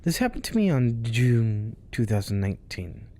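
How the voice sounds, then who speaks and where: A man hosting a spooky story show, reading tales aloud in a hushed voice that often drops to a whisper. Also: medium pitch, very animated, moderate pace. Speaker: storyteller host.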